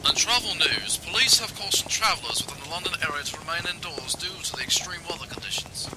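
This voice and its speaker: A man's voice: fairly high pitch, expressive, quick pace, natural voice. A man playing a formal radio newsreader in an amateur radio play, reading a scripted bulletin over noise. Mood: formal, urgent. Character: formal male radio newsreader giving weather warnings.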